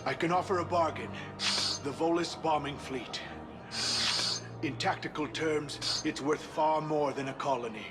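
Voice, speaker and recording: A man's voice: fairly high pitch, a little slow, music in the background, close to an everyday voice. A man voicing a reluctant, bargaining alien informant in a video game.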